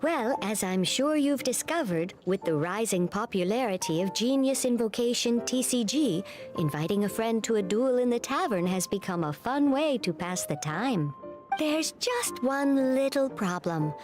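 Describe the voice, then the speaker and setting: An actress voicing a polite, scholarly young woman in a video game, speaking in formal, measured phrases. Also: fairly high pitch, lively intonation, close to an everyday voice. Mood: polite, explanatory. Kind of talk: scripted video game dialogue. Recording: game audio with music underneath. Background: game music.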